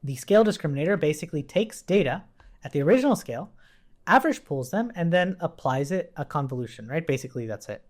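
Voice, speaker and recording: Man explaining code with lively, swinging pitch. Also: fast talker. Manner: explanatory, thinks aloud, often checks with "right?". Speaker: programming instructor. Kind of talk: informal coding tutorial, explaining while typing.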